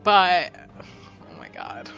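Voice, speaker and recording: A woman playing a tabletop roleplaying game, chatting casually in a giggly, self-deprecating, animated way. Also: a bass voice, drawn-out words, creaky, lo-fi sound, soft background music.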